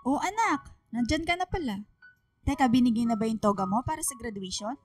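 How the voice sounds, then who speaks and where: A woman's voice: fairly high pitch, animated, a little fast, faint music behind. A woman playing a strict but protective, struggling single mother in a Filipino radio drama. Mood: casual.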